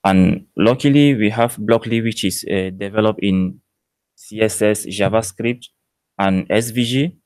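A young man with a Cameroonian accent giving a technical conference presentation in a measured, explanatory way. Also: lowish voice, a little mellow, no room sound. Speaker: PhD student presenting research. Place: indoor presentation room.

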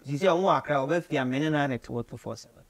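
A young Ghanaian man with a slightly high voice and a Ghanaian accent speaks as an interview guest. His talk is casual and slips between Twi and English. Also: rapid-fire. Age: 20s. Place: small studio office, indoors.

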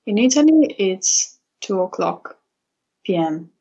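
A man's voice: high-pitched, big pitch swings, slow pace, creaky, dark tone, breathy edge, dull audio. A man working as a language tutor, answering politely and hesitantly with many fillers. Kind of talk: casual video-call chat.

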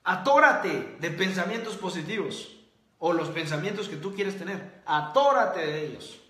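A man's voice: high-pitched, expressive, unhurried, pushed and bright, roomy sound. A man hosting a motivational livestream. He reads out viewer comments and repeats his key points in a preachy way. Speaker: host.

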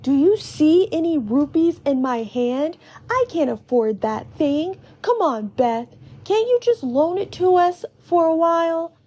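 A woman voicing a loud, bold, excitable young village boy in storybook dialogue. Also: very high voice, unhurried, pitched above the performer's own voice. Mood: pleading, exasperated. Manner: excited, exclaiming.

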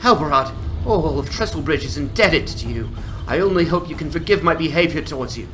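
Man acting a proud, bright-voiced fantasy town mayor. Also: a little high, animated, brisk, faint music behind. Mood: apologetic. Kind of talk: scripted fantasy game dramatization.

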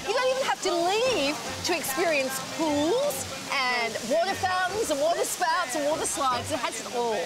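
A woman's voice: very high voice, lively intonation, normal speed, few pauses, loud music behind the voice. A woman in her forties with an Australian accent, co-presenting a travel segment in a cheerful, teasing way. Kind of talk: travel voice-over and banter.

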